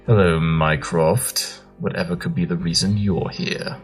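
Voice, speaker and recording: A man voices a very deep-voiced, brilliant detective pony in a cartoon-style audio drama. He is pompous, dismissive and dryly sardonic, with music playing behind him. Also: steady tone, unhurried. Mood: irritated sarcasm. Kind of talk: scripted audio drama acting.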